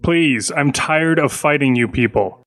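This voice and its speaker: A rude, weary military guard in an audio story, acted by a man. His voice sits fairly high for a man. He speaks curtly but slowly, sounding fed up. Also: a bit bright, rough recording. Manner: curt, weary. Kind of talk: audio fiction acting.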